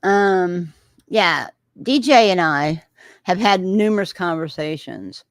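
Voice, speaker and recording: A woman's voice: on the low side, lively intonation, slow, dry recording, studio quality. A woman with a Texan accent hosting a casual livestream, reading out viewer comments and reacting in a rambling way with many filler sounds and restarts.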